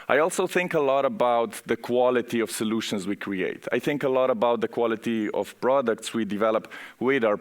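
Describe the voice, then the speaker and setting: A man with a Slovenian accent presenting at a conference in an informal, conversational way, speaking directly to the audience. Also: mid-range voice. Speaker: conference speaker, software architect. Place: conference session room, on stage.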